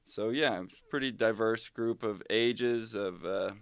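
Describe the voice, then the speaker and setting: A man in his thirties speaks as a podcast co-host in a casual, conversational way, often asking questions. The voice is clear and smooth, with a tight, echo-free recording. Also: on the low side, normal speed, a bit bright. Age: 30s.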